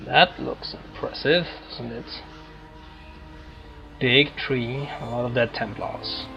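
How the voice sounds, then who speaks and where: A man's voice: medium pitch, fairly even intonation, slow, creaky, dull audio. A man commentating casually on a video game, musing aloud in short, broken remarks. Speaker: gamer commentating on a playthrough. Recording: game music behind the voice. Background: loud video game music.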